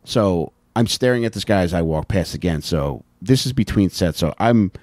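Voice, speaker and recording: An American man co-hosting a podcast, chatting casually and comedically with animated, sweary storytelling into a close handheld mic. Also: sits low, normal speed, no room sound. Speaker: podcast co-host. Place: small indoor podcast room with couches. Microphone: handheld microphone held close.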